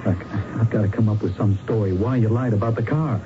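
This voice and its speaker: An actor playing a crooked, cynical young investigator in a radio crime drama, blunt, slangy and impatient. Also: male voice, fairly low pitch, a little fast, over music, lightly acted. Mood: exasperated, scolding. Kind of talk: old-time radio drama acting. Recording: muffled, noisy old radio broadcast.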